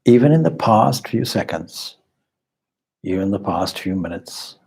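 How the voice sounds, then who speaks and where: Slow, deep, husky man guiding meditation. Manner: calm, measured, instructive with deliberate pauses. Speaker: swami leading a guided meditation. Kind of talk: guided meditation.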